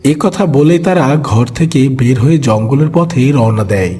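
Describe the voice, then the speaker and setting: A man's voice: medium pitch, expressive, unhurried, warm tone, breathy edge, soft background music. A man narrating a scripted children's cartoon story.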